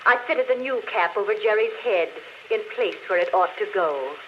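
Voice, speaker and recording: Woman acting as a murderess speaking from a record, deliberate confession, 1940s radio drama, muffled old broadcast. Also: mid-range voice, natural voice. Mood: coolly confessing. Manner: calm, deliberate confession, later frightened. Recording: dull old radio broadcast with faint hiss.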